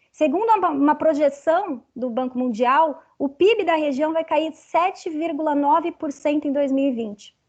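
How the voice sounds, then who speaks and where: High-voiced Brazilian woman moderating. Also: darkish tone, highs cut off. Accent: Brazilian Portuguese. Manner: careful, reads figures, frequent "né". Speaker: webinar moderator. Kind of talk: academic panel presentation.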